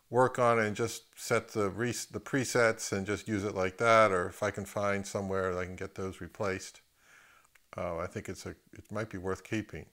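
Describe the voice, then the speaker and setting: A man giving a casual, unscripted equipment review as a video host, thinking aloud in a halting way and repeating words. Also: fairly low pitch, a bit bright. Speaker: host of an audio gear video channel.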